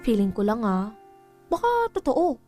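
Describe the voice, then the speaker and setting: A woman's voice: on the high side, big pitch swings, measured pace, mellow. A woman playing a doubting, pouty teenage girl in a Filipino radio drama, with a Filipino accent. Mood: doubting, confiding. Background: background music.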